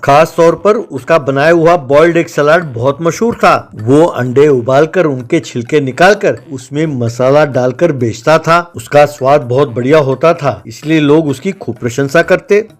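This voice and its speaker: Male story narrator, slightly high-pitched. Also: a little slow, few pauses. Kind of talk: scripted moral story narration.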